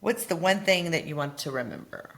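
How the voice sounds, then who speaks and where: Low voiced woman, 50s, co-hosting a podcast, lots of yeahs and right rights. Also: expressive, brisk, a bit bright. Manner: supportive, with many short agreeing replies. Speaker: co-host asking questions. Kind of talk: casual conversation.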